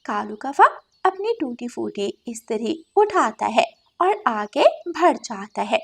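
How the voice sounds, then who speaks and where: A woman reads a scripted story for children as its narrator. Her voice sits a little high. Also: sing-song, slow, vocal fry, a bit bright, slightly rough.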